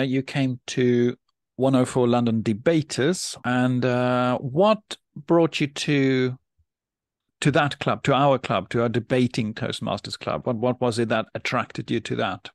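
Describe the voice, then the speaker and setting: A man's voice: mid-range voice, no echo, clean studio sound. Polite man hosting a podcast interview. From Norway. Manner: measured, polite, with frequent restarts. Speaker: podcast host and debate coach.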